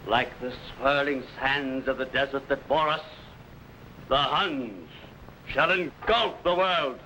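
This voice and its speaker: An actor playing a boastful, ruthless barbarian warlord, proclaiming conquests in grandiose speeches. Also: male voice, mid-range voice, slow pace, sharp tone, a little hiss or hum, poor audio. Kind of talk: 1950s radio drama acting.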